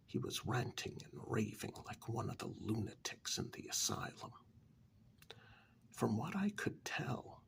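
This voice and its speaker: A man in a soft-spoken ASMR story role-play, narrating a horror tale in a breathy, gravelly half-whisper as an asylum director, pausing between short phrases. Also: on the low side. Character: asylum director calmly recounting eerie past events. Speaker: narrator telling a horror tale in first person.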